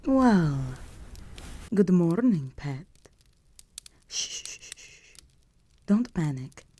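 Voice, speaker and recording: A woman voicing an imperious, seductive vampire countess in a scripted audio roleplay, poised, commanding and softly menacing. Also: on the low side, very animated, extremely slow, heavily acted. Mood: soft, possessive.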